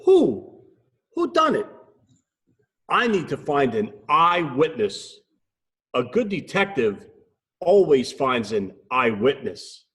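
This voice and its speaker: A man voicing an earnest, excitable owl detective in a children's storybook, dramatic and self-important. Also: medium pitch, slow pace, long pauses, full character voice. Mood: dramatic, exclaiming.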